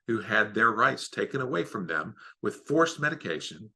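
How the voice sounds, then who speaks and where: A man's voice: fairly low pitch, sing-song, normal speed, gravelly, pushed and bright. A man making a formal, emphatic statement of advocacy. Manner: emphatic, declarative.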